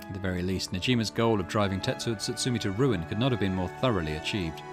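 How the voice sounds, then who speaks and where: A man reading a visual novel aloud as a solemn, measured narrator of a dark occult mystery. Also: deep voice, lightly acted. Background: game music.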